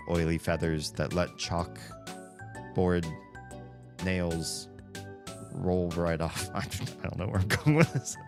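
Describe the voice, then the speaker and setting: Deep-voiced Southern US man rambling. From Southern United States. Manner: rambling, self-interrupting, wry. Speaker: streamer. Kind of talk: casual livestream chat while gaming. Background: background music.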